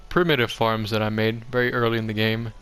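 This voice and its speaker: Clear-voiced young man, rambling casually. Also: lowish voice, level tone, a little fast, fairly bright tone. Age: young adult. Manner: rambling, self-deprecating, offhand. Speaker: gaming video host. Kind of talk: casual game tour commentary. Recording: music behind the voice. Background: background music.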